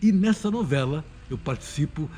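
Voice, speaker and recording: A man's voice: on the high side, animated, moderate pace, mellow. A Brazilian man, a radio drama voice actor, talking casually and enthusiastically in a promotional interview. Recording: music behind the voice.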